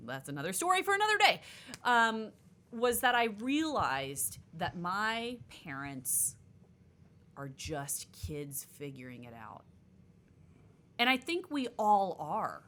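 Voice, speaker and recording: A woman in her late thirties who pushes her points and talks over the others. Her pitch swings widely and her tone is bright. She speaks as a nutritionist guest in a loose group conversation. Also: very animated, takes it slowly. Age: 30s. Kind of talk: casual chat.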